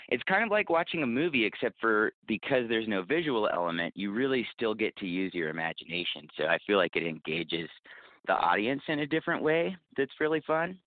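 Male podcast guest, low voice, earnest and enthusiastic, conversational, tinny phone-like sound, dry. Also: no echo. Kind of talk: conversational interview answers.

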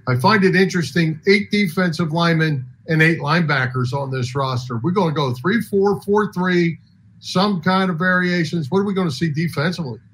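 A man's voice: a little high, a little fast, a bit bright, slightly rough, low-quality recording. A man co-hosting a conversational sports talk show, commenting and asking questions.

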